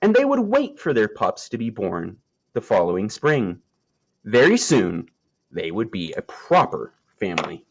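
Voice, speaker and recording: A man reading a children's nature story aloud, with expressive storytelling delivery and deliberate phrasing. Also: fairly low pitch, gravelly. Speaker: storybook reader.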